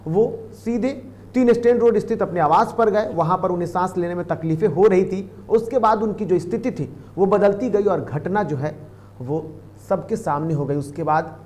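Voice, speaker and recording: A man's voice: high-pitched, darkish tone. A man anchoring the news, commenting on a report in an emphatic, wordy, repetitive way.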